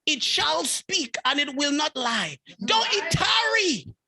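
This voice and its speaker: A man's voice: very high-pitched, sing-song, slow pace, creaky, pushed and bright. A man preaching a sermon as a bishop, driving his points with repeated phrases and rising fervour.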